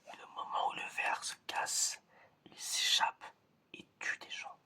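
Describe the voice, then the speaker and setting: An ASMR performer playing an eccentric examiner in a whispered roleplay, speaking slowly and hesitantly and repeating phrases. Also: sharp tone. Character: eccentric examiner testing whether the listener is human.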